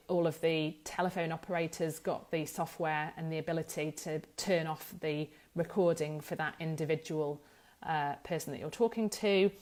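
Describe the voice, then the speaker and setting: Woman explaining, slightly low voice. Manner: hesitant, thinking aloud, self-correcting. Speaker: data protection law expert presenting an explainer. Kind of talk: informal explanatory talk to camera.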